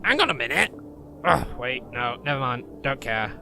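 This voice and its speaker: Man playing an animated, irritable sweary rogue. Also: mid-range voice, measured pace, gravelly, background noise. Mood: exasperated. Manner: cocky, grumbling, rambling. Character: irritable, sweary male rogue potion peddler, boastful. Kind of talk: hobby comedy fantasy audio drama.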